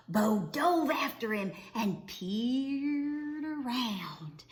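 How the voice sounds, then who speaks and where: A woman reading a children's storybook aloud expressively, with dramatic, drawn-out emphasis on words. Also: fairly high pitch, a bit bright. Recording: echoey, roomy sound.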